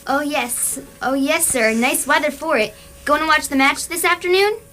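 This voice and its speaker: Chatty, servile radio-play barman acted by a woman, very high and bright, faint noise behind. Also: moderate pace. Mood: chatty, deferential. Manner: polite, servile small talk. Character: chatty, deferential, puzzled pub barman. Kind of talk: amateur radio theatre acting.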